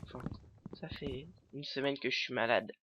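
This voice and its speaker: A man with a stuffy, congested nose narrates his game in loose, hesitant commentary, leaning on fillers as he goes. His voice sits at an ordinary middle pitch. The recording is dull and lo-fi, with a faint hiss underneath. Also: steady tone, moderate pace. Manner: hesitant, filler-heavy rambling. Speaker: let's play host. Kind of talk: casual gameplay commentary.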